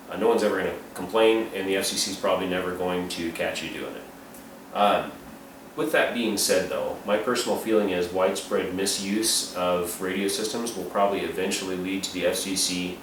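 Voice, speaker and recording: Low-voiced man in a noisy recording. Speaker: reviewer and amateur radio hobbyist. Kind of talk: unscripted product review and explainer. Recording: roomy, distant mic, clearly noisy.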